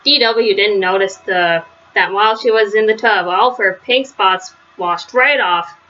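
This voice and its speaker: A woman's voice: mid-range voice, a little slow, pushed and bright, lo-fi sound, slight background noise. A woman reading a children's story aloud as narrator. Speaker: storybook reader.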